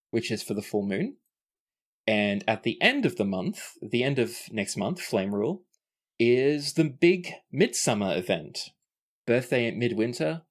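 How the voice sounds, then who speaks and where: A man narrating a tabletop role-playing game as game master. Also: medium pitch.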